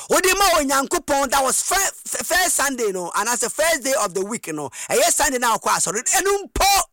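High-pitched Ghanaian man preaching emphatically. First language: Twi. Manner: emphatic, repetitive, rhetorical questions, swinging into shouts and chant.

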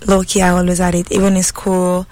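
A woman's voice: fairly low pitch, monotone, brisk, darkish tone. Playful Italian-born woman, Nigerian-tinged English. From Italy. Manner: playful, confident, laughs often. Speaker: guest musician. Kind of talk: casual interview conversation.

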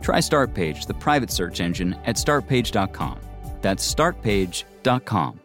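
A man's voice: on the low side, sing-song, measured pace, a bit bright. Man reading a punchy ad. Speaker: ad narrator. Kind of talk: scripted commercial read. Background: faint music.

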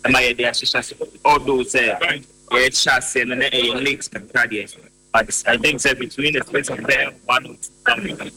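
Male interviewee, very sharp and husky tone, halting speech, radio sound with a hum. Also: medium pitch, dry recording, poor audio. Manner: halting, searching for words. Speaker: interviewee, elections committee member. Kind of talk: on-the-spot interview answers.

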